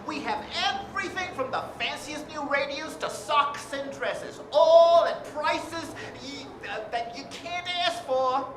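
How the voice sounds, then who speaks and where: A man's voice: very high voice, measured pace, few pauses. An actor playing a cheerful, humble department store greeter in his forties, folksy and chatty, in a 1940s radio drama. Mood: welcoming. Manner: folksy, chatty, chuckling and self-effacing. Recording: roomy stage sound, clear background noise.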